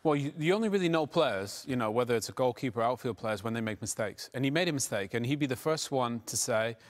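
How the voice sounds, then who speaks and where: A man's voice: medium pitch, animated. A man in his forties giving conversational post-match football analysis in a TV studio, speaking through a lapel mic with lots of fillers. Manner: quick, conversational, filler-heavy. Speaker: studio pundit.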